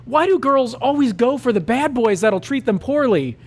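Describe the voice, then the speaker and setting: A man's voice: extremely high pitch, lively intonation, normal speed. A man reading a raunchy comic story aloud at a live show, with mock-dramatic narration.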